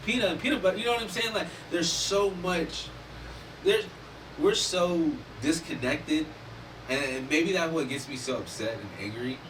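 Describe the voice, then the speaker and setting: A man's voice: a little high, normal speed. Emphatic man, distant mic, noisy room. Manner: animated, emphatic, swears freely. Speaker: podcast host. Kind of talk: casual discussion. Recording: distant mic with noticeable background noise.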